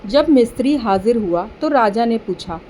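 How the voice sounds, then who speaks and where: A woman's voice: fairly high pitch, expressive, moderate pace, dark tone, a little hiss or hum, low-quality recording. A woman giving scripted narration of a children's story.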